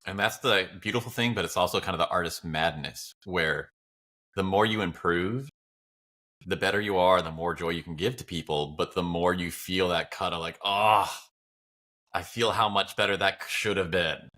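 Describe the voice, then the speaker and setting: A man's voice: sits low, normal speed, bright, forceful tone. A man talking casually as a podcast co-host, reflective and encouraging. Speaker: podcast co-host and video creator. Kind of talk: casual chat.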